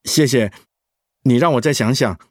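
An actor playing a troubled, torn middle-aged pharmacist in a radio drama. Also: male voice, mid-range voice, normal speed, no echo, clean studio sound, natural voice. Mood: dejected.

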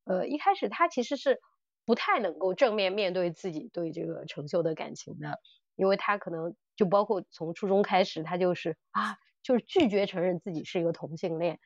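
A woman's voice: on the low side, a little fast, no echo, dull audio. A woman co-hosting a podcast, chatting casually and enthusiastically about audio dramas as a fan. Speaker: podcast co-host.

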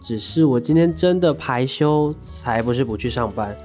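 A woman's voice: rumbling low, expressive, normal speed, over music. A woman with a Taiwanese accent playing a defensive, whiny young slacker housemate in an audio drama. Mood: whiny, curious. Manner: protesting, exclamatory.

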